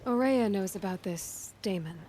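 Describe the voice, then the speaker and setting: Actress doing young huntress in a game, medium pitch, curious and a bit dry, faint noise behind. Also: female voice, moderate pace, dark tone, close to an everyday voice. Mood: determined. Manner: direct, inquisitive, dry. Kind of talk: video game dialogue acting.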